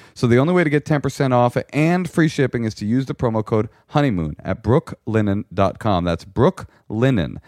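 A man's voice: mid-range voice, darkish tone, dry recording, clean studio sound. An American man co-hosting a podcast in casual comic chat, opinionated and joking, leaning on his words for emphasis. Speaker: podcast co-host.

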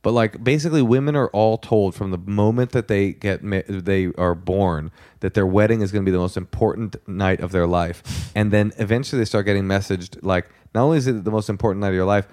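Low-voiced American man, joking podcast host. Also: brisk, hardly any pauses, a little mellow, no room sound, studio quality. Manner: joking, opinionated, emphatic repetition. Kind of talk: casual comic chat, ad reads.

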